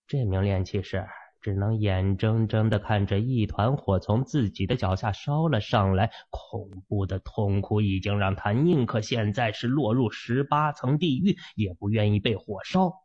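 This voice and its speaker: Male narrator for dramatic fiction audiobook, lower register, dull lo-fi sound. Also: moderate pace, darkish tone.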